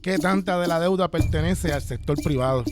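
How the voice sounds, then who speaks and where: A man's voice: fairly high pitch, brisk, noisy background. A man delivering scripted documentary narration, posing pointed rhetorical questions. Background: loud music.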